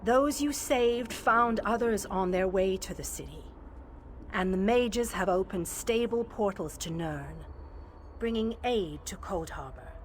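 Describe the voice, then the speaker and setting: An actress plays a wise, ethereal female guardian in a video game. She speaks very slowly, with formal, measured calm. Faint ambient noise sits behind the voice. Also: medium pitch, lightly acted. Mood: serene, pleased.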